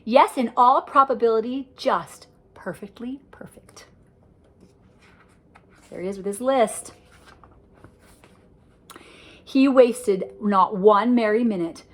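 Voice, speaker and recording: An older woman reading a rhyming picture book aloud to children, with a lively, sing-song delivery and chatty asides about the pictures. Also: fairly high pitch, unhurried.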